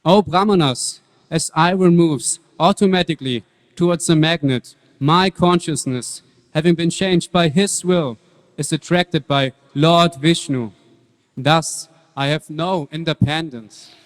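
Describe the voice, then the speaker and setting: A man voices a devout young boy in a dramatic stage reading. He is serene, measured and earnest, with a slightly high voice resounding in a big reverberant hall. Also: lightly acted.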